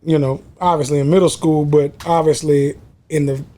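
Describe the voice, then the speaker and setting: A man's voice: fairly high pitch, level tone, normal speed, warm tone. A man, a podcast guest who throws in short, punchy remarks. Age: 20s. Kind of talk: casual podcast chat.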